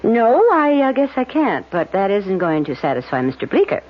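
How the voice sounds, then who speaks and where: An actress playing a lively, caring, teasing secretary to a lawyer in a vintage radio drama. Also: female voice, medium pitch, normal speed, natural voice. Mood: concerned. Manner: teasing, expressive. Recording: dull, noisy old radio recording.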